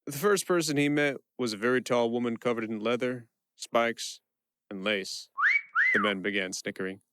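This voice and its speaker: A man playing a quick-witted prisoner who narrates his own tale in a first-person audio play. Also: medium pitch, very noisy, close to an everyday voice. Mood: relishing storyteller.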